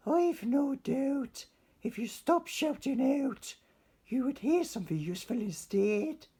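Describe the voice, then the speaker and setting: A strict lady teacher from a children's storybook, put on by a male reader. She scolds and declares in a high voice pitched well above normal talk. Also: animated, a little slow, warm tone, husky, full character voice. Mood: exasperated scolding. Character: strict female schoolteacher scolding a noisy pupil.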